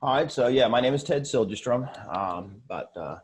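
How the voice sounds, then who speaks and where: A man in his forties, born in Sweden, speaking as an interview guest in a casual, halting way with many fillers and restarts. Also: mid-range voice, expressive, slight background noise. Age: 40s.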